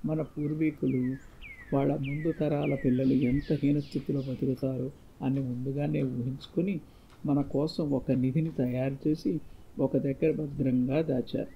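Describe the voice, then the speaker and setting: A male storyteller voicing an earnest, solemn father who gives measured counsel to his son in a moral tale. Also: medium pitch, vocal fry. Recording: muffled lo-fi, faint background noise.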